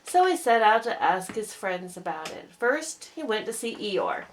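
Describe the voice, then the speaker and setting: A woman reading a children's storybook aloud in an expressive narrating style. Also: medium pitch, measured pace, slight background noise.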